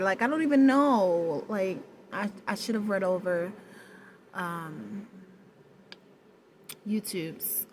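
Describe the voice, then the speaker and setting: A woman with a low voice talking straight to the camera in a personal vlog, hesitant and searching for words, with many pauses and restarts. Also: a bit bright, slight background noise. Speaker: vlogger. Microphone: no external mic, camera mic.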